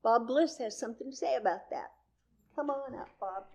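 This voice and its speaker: A woman's voice: fairly high pitch, normal speed, heavy background noise. A woman leading a church service, giving a prepared introduction of the next speaker to the congregation.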